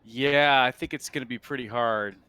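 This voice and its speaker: A man working as game master, narrating and making rulings in a halting, think-aloud way. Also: medium pitch, bright, forceful tone. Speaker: game master of a tabletop role-playing game.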